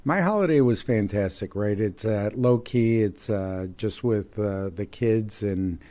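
A man born in Mexico and raised near Chicago, a chamber of commerce president talking casually in an interview, friendly, with many pauses and restarts. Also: lowish voice, dark tone. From Mexico, raised in Chicago area.

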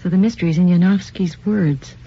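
An actress playing a composed woman in a radio drama, reading in a measured, solemn manner. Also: lowish voice, warm tone, lightly acted. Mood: calm. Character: composed woman reading a grim account aloud. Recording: dull lo-fi radio recording, faint noise.

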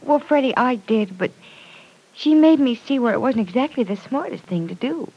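An actress playing an earnest, stubborn young woman in an old-time radio serial, expressive and gently insistent. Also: on the high side, normal speed, slightly rough, low-quality recording. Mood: earnest, defensive. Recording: vintage radio drama recording.

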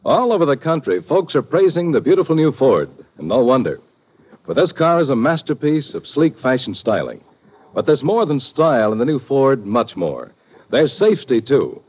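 A man's voice: medium pitch, big pitch swings, normal speed, slightly rough. An American man reading a scripted 1950s radio commercial with emphatic salesman delivery. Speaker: commercial announcer. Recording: dull vintage radio recording.

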